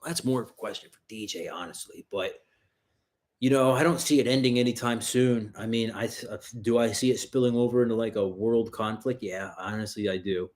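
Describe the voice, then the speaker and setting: Profane, rambling livestream host. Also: male voice, fairly low pitch, brisk, darkish tone. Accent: New York area. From US tri-state area. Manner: loose and profane, rambling with fillers. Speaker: livestream host answering viewer questions. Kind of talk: casual livestream Q&A.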